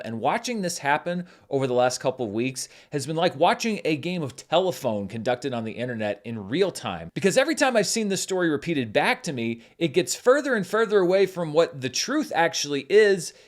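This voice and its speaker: Film critic guy explaining stuff, bouncy pitch, kinda high voice. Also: very animated, fairly quick, few pauses. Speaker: film critic and channel host. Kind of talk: scripted commentary.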